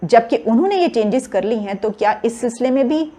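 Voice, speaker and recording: A woman's voice: on the high side, expressive, fairly quick, warm tone. A woman with a Pakistani accent comments on current affairs without a script, explaining her points step by step. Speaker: talk show commentator. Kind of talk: unscripted news discussion.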